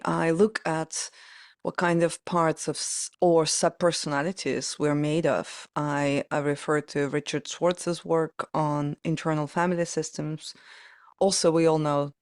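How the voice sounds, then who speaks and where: Low-pitched Ukrainian woman with dry close sound. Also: dry recording. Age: 40s. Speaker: podcast guest, pianist and performance coach. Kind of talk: reflective conversational interview.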